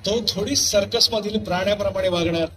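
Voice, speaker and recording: A man giving scripted, dramatic narration as a festival show announcer, in declamatory, measured phrases. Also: sits high, crowd noise. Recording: clear music behind the voice.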